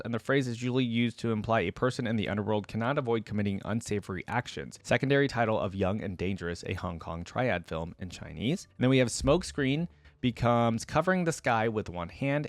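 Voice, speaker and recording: A man's voice: medium pitch, no room sound. A man hosting a casual reaction video, musing aloud in a conversational, informal way. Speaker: YouTube host. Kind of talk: casual reaction commentary, thinking aloud and reading trivia.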